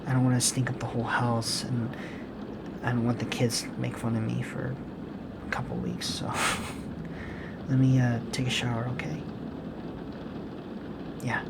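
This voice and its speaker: A man playing a gentle, guilt-ridden serial killer boyfriend and father in an intimate ASMR roleplay, speaking softly and hesitantly, with apologies. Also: medium pitch, creaky, very noisy. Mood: flustered. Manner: hesitant, halting, apologetic, soft-spoken.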